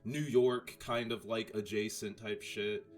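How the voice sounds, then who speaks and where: A man's voice: fairly low pitch, fairly even intonation, measured pace. A young man from Florida with a Southern US accent, hosting a podcast casually, rambling with lots of filler words. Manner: loose, rambling, heavy use of "like". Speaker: podcast host. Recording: faint background music.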